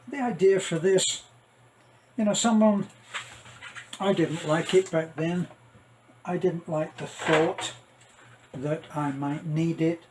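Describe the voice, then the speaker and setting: A man's voice: a little high, darkish tone, a little hiss or hum, low-quality recording. An older man chatting casually to viewers as a vlogger, wry and self-deprecating, drifting off into asides. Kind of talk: casual rambling vlog chat to viewers.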